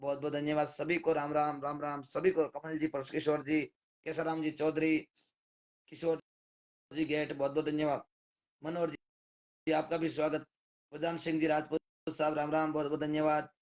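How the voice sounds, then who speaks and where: Hoarse Rajasthani man, lo-fi stream. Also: fairly high pitch, monotone, normal speed, pauses often, a little mellow. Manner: rattles off viewers' names with thanks and greetings. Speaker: devotional singer hosting a live stream. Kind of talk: casual live-stream greetings.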